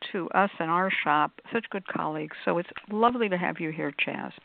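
A woman's voice: lowish voice, brisk, gravelly. A woman hosting a casual live talk show, chatty and effusive, with emphatic asides. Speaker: radio show host. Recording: phone-like, narrow-band sound.

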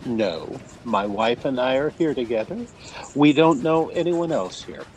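An actor playing an impatient husband in a radio drama, curt and quick to snap. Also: male voice, mid-range voice, animated, darkish tone, a little hiss or hum, close to an everyday voice, low-quality recording. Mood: impatient, irritable. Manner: curt, peevish.

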